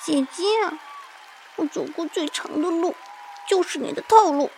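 A woman's voice: extremely high pitch, unhurried, darkish tone, some chatter behind, a little hiss or hum, poor audio. A woman playing a cheeky, pouty eight-year-old little sister in a scripted radio skit. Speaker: radio co-host.

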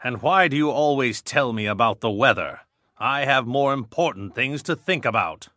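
A stern storybook gentleman, put on by a woman narrator in a very low voice. He is gruff and curt, with wide swings in pitch and a formal manner. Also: wildly swooping pitch, normal speed, no echo, clean studio sound, heavily acted. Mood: irritated. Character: gruff, stern, solitary rich man. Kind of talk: storybook character dialogue.

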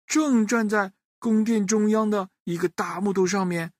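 A woman's voice: medium pitch, moderate pace, dry recording, studio quality. A woman telling a children's story expressively, addressing young listeners directly with playful questions and little interjections.

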